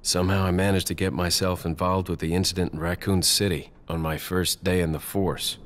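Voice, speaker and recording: A man's voice: low voice, little pitch movement, a little fast, a bit bright, a little hiss or hum. A man playing a reserved young American ex-cop hero in a video game, understated and terse, with an American accent. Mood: guarded, dry. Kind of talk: video game cutscene acting.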